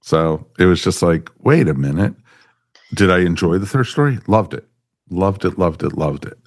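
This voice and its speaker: Deep voiced guy on a review podcast, emphatic, repeats himself, super dry audio. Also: lively intonation, normal speed, dry recording. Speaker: podcast panelist. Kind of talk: casual review discussion.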